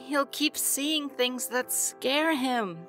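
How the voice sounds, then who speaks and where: A woman's voice: high-pitched, slow, a bit bright, faint music behind. A woman playing a kind-hearted, wary young mortal in a fantasy audio drama, speaking hesitantly. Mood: doubtful.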